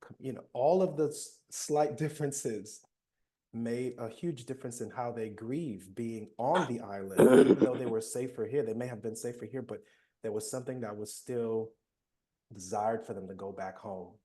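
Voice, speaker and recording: A man speaking as a panelist, reflecting in a soft-spoken way. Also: mid-range voice, moderate pace. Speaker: panelist, mental health professional. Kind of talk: panel discussion.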